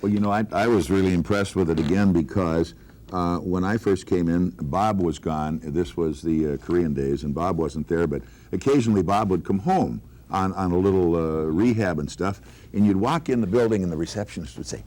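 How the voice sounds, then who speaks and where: A man's voice: deep voice, normal speed, darkish tone. An older man, a veteran radio broadcaster, casually reminiscing in conversation with former colleagues.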